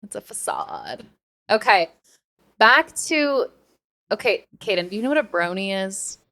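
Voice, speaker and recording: A woman's voice: mid-range voice, animated, unhurried, sharp tone. Casual American woman co-hosting a podcast. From United States. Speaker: podcast co-host.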